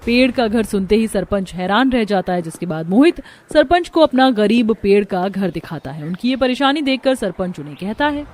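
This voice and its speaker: A woman's voice: mid-range voice, expressive, normal speed, hardly any pauses, darkish tone. Woman narrating a cartoon moral story.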